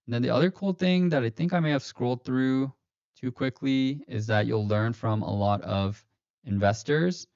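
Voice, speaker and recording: A man's voice: on the low side, darkish tone, clean tone, no room sound. A man hosting a finance livestream, talking casually with many fillers and self-corrections, his streaming voice a little robotic. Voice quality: slightly robotic-sounding stream voice. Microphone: streaming mic. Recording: dull lo-fi stream audio, dry.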